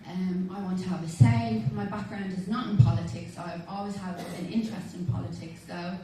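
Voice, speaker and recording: A woman's voice: fairly low pitch, fairly even intonation, brisk, dark tone. An Irish woman in her thirties from Dublin gives an informal, hesitant campaign speech as an election candidate. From Dublin, Ireland. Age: 30s. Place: large community hall with audience. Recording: echoey hall, distant mic, faint noise.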